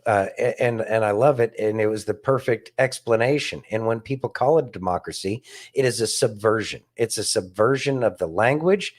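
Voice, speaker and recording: A man's voice: lowish voice, moderate pace. A man co-hosting a casual political discussion, speaking emphatically and repeating phrases for stress. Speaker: podcast co-host.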